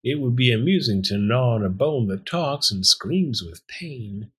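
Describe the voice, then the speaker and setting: A man's voice: medium pitch, animated, a little slow, fairly bright tone, breathy edge, full character voice. A man voicing a wily, dapper fox villain in a storybook, smug and hungry, with suave, florid menace. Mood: snarling menace.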